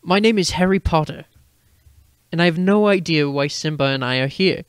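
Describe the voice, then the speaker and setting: A woman's voice: low-pitched, normal speed, smooth, no echo, studio quality. A woman reading character lines in a dramatic reading of a fantasy story. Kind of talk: dramatic reading of fan fiction.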